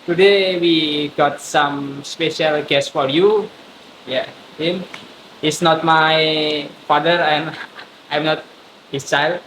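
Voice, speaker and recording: A man's voice: fairly high pitch, fairly even intonation, takes it slowly. A man hosting a casual podcast interview who asks questions in a playful, jokey way. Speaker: podcast host. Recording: echoey room with hiss.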